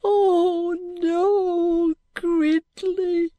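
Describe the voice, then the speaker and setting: A woman's voice: very high voice, flat delivery, extremely slow, dark tone, dry recording, voice pushed up, heavily acted. A woman voicing a frail, devoted little old lady crying out in grief, in an audiobook. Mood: anguished wailing. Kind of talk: audiobook character acting.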